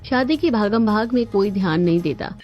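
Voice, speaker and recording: A woman's voice: medium pitch, lively intonation, moderate pace, darkish tone, slight background noise. A woman reading scripted narration for a cartoon family story. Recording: dull lo-fi audio with music behind.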